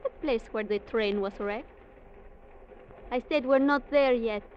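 An actress playing a proud, sharp-tongued young woman, haughty and challenging, in old-time radio drama style. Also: fairly high pitch, unhurried, close to an everyday voice. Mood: knowing. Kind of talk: 1940s-50s radio drama acting. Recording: muffled, noisy vintage radio recording.

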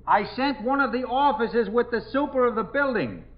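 An actor playing a patient police captain who projects reassurance through a door in a 1950s radio drama. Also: male voice, very high voice, expressive, fairly quick. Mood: reassuring. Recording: muffled 1950s radio recording.

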